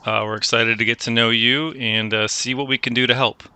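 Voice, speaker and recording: A man hosting a career advice chat, coaching a student in a relaxed way and often restarting his phrases. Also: medium pitch, fairly quick, smooth, no echo. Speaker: host giving career advice. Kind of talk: conversational mentoring chat.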